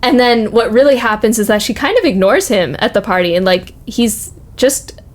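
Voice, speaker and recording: A woman's voice: a little high, moderate pace. A young adult woman chatting casually on a podcast, informal and reflective, feeling her way through personal stories.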